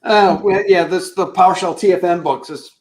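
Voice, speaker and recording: A man's voice: high-pitched, normal speed, darkish tone. A man, an author, speaking gratefully and conversationally on a video call. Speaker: author, panel guest. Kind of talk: self-introduction on video call.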